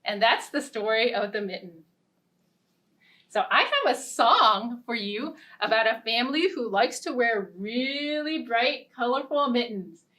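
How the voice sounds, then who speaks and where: Female children's librarian, storytime read-aloud. Unhurried, fairly high voice, playful and expressive for little kids. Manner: playful, expressive, simple talk addressed to small children.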